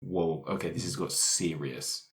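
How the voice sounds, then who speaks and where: A man with a low voice talks in a casual, conversational way. He comes across as one of several players discussing their game. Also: measured pace. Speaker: podcast cast member, role-player. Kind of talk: casual chat.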